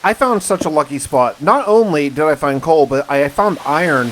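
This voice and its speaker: A man's voice: on the high side, lively intonation, moderate pace, fairly bright tone, poor audio. A man narrating a gaming survival story as a scripted first-person log, with a dramatic storytelling delivery. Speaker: gaming video host narrating a role-play survival series. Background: music.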